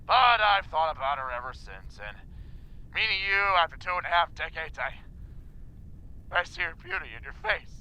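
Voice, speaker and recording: Blustering editor boss: gravelly, very animated, theatrical and rambling, comic radio play. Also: male voice, on the high side, moderate pace, heavily acted, rough recording. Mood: melodramatic, wistful. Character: blustery, melodramatic male newspaper editor boss. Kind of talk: comic audio drama acting.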